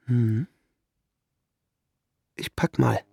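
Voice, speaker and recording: Dismissive husband in a radio play, gravelly husky voice, very dry sound. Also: male voice, mid-range voice, drawn-out words, mellow, no echo, exaggerated voice. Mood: cold, curt. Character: cold, dismissive husband, unfazed and menacing. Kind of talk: German radio play acting.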